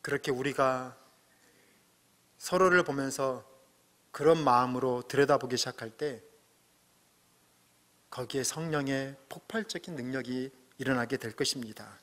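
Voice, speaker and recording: Male pastor, middle-aged, bright tone, church hall reverb; earnest, swings between emphatic peaks and soft asides. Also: medium pitch, normal speed, long pauses. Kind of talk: sermon. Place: church sanctuary.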